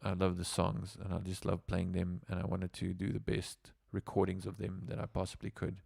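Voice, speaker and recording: A man's voice: low voice, monotone, moderate pace, vocal fry, darkish tone, dry recording, studio quality. A South African man, native Afrikaans speaker, a musician telling stories on a casual livestream in a rambling way with lots of fillers. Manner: rambling, with many fillers and restarts.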